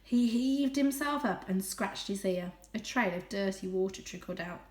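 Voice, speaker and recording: Expressive woman storyteller in an echoey room. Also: mid-range voice, moderate pace. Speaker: teacher reading aloud. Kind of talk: expressive children's storybook narration.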